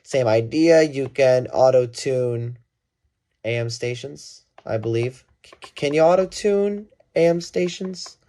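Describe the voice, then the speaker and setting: A man's voice: medium pitch, slow, warm tone. A man giving a casual hands-on gadget demonstration, thinking aloud and correcting himself as he goes. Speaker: product reviewer.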